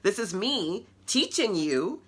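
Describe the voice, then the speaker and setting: A middle-aged woman with a deep voice, hosting a casual online web class and encouraging her audience in a conversational, playful way. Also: big pitch swings, slow, breathy edge. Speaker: web class host and business coach.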